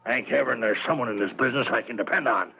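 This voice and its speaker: A man's voice: mid-range voice, fast, creaky, bright, forceful tone, breathy edge, muffled recording, heavily acted. An actor playing a domineering older boss in an old-time radio comedy, barking and repeating himself as he stammers along. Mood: stern, blustery. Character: blustery, gruff, domineering older male boss. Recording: old radio broadcast recording.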